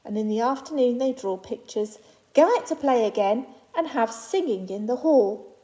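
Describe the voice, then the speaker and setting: Higher-pitched woman reading to young children. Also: animated, mellow, low-quality recording. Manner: simple, child-directed delivery with deliberate phrasing and reassuring asides. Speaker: infant school teacher reading aloud to new pupils. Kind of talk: children's picture book read aloud with personal asides.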